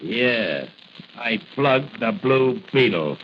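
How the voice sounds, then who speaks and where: An actor playing a smug, crooked saboteur henchman with gravelly, slangy gangster talk in 1940s radio style. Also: mid-range voice, lively intonation, very slow. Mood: boastful. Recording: muffled old radio recording, faint noise.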